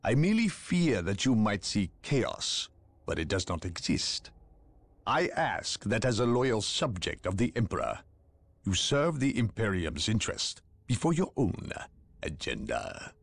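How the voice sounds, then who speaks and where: A man's voice: on the low side, sing-song, a little slow, creaky, no echo, full character voice. An actor playing an arrogant male inquisitor, speaking with clipped, cutting, condescending politeness. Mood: cold. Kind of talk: video game cutscene acting.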